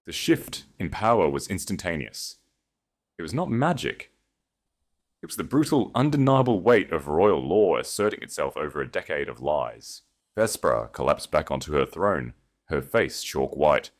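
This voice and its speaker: A man's voice: extremely low pitch, animated, moderate pace. A man reading a scripted romantic fantasy tale as a dramatic audiobook narrator.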